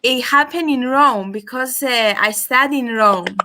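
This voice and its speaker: Bright-voiced young Greek-speaking woman. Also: sits high, measured pace, slight background noise. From Cyprus. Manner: hesitant, with many restarts and fillers. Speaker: caller. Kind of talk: casual call-in chat.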